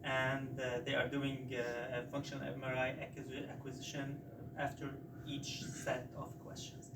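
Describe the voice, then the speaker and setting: Lebanese-born guy, arabic first language, lots of uh and restarts, flat tone, far off in a roomy noisy lecture hall. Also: mid-range voice. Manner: halting, many "uh" fillers and restarts. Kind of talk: conversational account in a seminar. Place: lecture room. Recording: roomy, distant from the mic, noisy.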